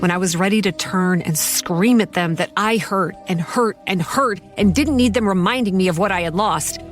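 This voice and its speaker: A woman's voice: mid-range voice, normal speed, faint music behind, close to an everyday voice. A woman playing a haunted, sardonic young campground manager, telling her horror story in a confiding, wry first person. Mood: brooding.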